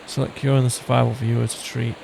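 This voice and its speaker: A man's voice: medium pitch, flat delivery, fast. A man giving casual commentary as he plays a video game. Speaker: gaming video host. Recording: clear hissy game ambience behind.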